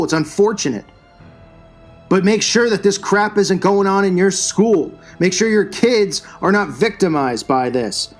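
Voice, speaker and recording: A man hosting his own channel, delivering a combative, scornful religious commentary rant. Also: high-pitched. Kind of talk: religious commentary rant over news clips.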